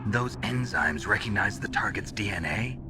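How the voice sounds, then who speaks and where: Game soldier male actor, low gravelly voice, world-weary and terse, music loud behind. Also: expressive, normal speed, lightly acted. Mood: grave, subdued. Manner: terse, questioning. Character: gruff, weary male soldier on a covert mission. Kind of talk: video game cutscene acting.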